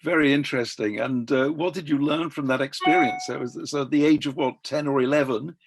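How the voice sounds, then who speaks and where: A man's voice: mid-range voice, fairly quick, background noise. A man hosting an online interview over a video call, speaking with many hesitations and restarts. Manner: hesitant, frequent fillers and restarts. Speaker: interviewer and host. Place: home in London during lockdown.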